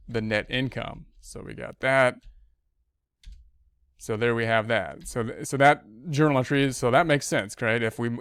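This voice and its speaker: A man's voice: medium pitch, a bit bright, clear, resonant tone, no room sound, clean studio sound. A man teaching as an instructor in a casual, informal step-by-step tutorial, thinking aloud as he works and repeating himself as he explains. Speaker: accounting instructor. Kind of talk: casual screen-share tutorial, talking through worksheet steps.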